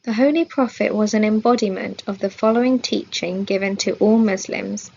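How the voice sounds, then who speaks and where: A woman reads a religious lesson from a script with a measured, formal delivery. Her voice sits at a middling pitch with a gravelly fry in it. The recording is a little dull and lo-fi. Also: a little mellow. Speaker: reader of a religious study.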